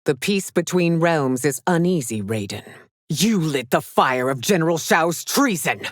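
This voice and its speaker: A proud warrior empress from a video game, with a low female voice and a very dry, close sound. She speaks slowly and formally, stern and imperious, every line delivered as a theatrical command full of scorn. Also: expressive, no room sound. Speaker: voice actress in a fighting game.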